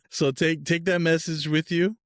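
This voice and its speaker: A man from Peru hosting a casual podcast interview, relaxed and upbeat, talking loosely with fillers. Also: fairly high pitch, measured pace, dry recording, clean studio sound. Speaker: podcast host.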